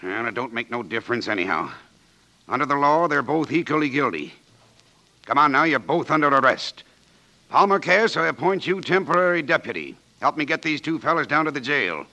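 An actor playing a stern, commanding frontier sheriff with a rural American accent, in 1940s radio drama style. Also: male voice, medium pitch, very animated, brisk, bright, forceful tone, rough recording. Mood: brisk commanding. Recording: vintage 1940s radio broadcast.

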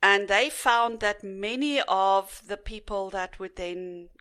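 South african woman, middle-aged, slow pace, bright forward tone, lots of fillers. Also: mid-range voice, clean tone. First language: Afrikaans. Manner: unhurried, with frequent fillers. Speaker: guest. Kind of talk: casual interview.

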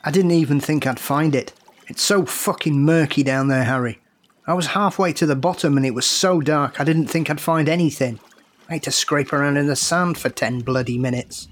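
A man's voice: on the high side, moderate pace, lightly acted. A man playing a grieving diver in an audio drama, blunt and full of guilt. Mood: tense.

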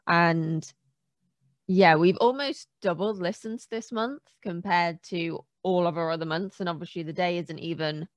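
A woman's voice: lowish voice, unhurried, no room sound. A woman co-hosting a podcast in casual, conversational chat. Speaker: podcast co-host.

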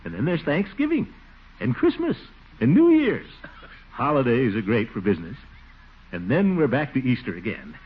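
A man's voice: medium pitch, wildly swooping pitch, normal speed, breathy edge. An American actor playing a pompous, blustering middle-aged businessman in a 1940s radio comedy, with theatrical exclamations and chuckles. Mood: boastful, enthusiastic. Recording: muffled vintage 1940s radio broadcast.

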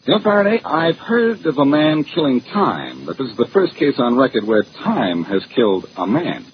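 An actor playing a cocky, wisecracking amateur detective in a 1940s radio drama, glib and sarcastic. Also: male voice, mid-range voice, wildly swooping pitch, highs cut off. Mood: cocky, teasing. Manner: glib, sarcastic, quick with quips. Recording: noisy, band-limited old radio recording.

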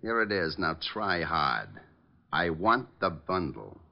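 A man's voice: deep voice, very animated, slow pace, gravelly, exaggerated voice. An actor playing a crude, impatient gangster boss with menacing tough-guy slang and broken grammar, in 1950s radio crime drama style. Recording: dull old radio drama recording.

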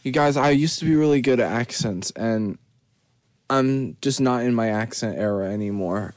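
A man giving a rambling, deadpan and self-mocking running commentary from a parked car, recorded on his phone. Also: mid-range voice, normal speed, no room sound. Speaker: podcast host. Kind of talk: rambling off-the-cuff monologue. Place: parked car in a store parking lot.

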